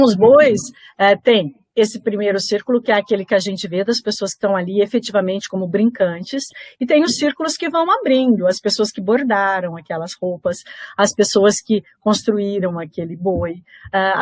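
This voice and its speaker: A woman, a founder of a theatre and dance group, explaining folk traditions in a live-stream conversation, unhurried and repeating phrases for emphasis. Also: mid-range voice, no room sound.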